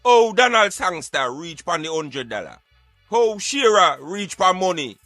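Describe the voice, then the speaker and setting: High-pitched Jamaican man, bright and forceful. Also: big pitch swings, unhurried, no room sound, soft background music. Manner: emphatic, argumentative, speaking in Patois. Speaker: radio show co-host. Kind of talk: impassioned debate.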